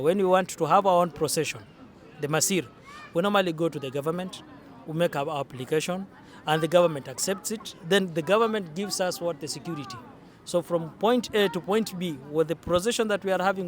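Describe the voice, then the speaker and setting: A young man from Kenya speaks in an earnest, explanatory way, often restarting his sentences. His voice sits a little high for a man. He is recorded outdoors in a city. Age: young adult. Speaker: interviewee. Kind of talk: casual interview.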